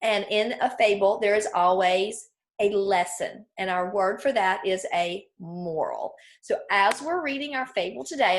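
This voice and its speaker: A woman teacher with a medium-pitched voice leads a read-aloud lesson for children. She explains each point clearly and often turns to her listeners with questions.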